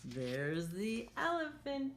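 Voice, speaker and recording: Lady doing storytime for little kids, slow n sing-songy, pitch goes up and down a lot, bit of noise behind. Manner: sing-song, expressive, addressing children directly. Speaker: children's storytime host. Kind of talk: read-aloud picture book for young children.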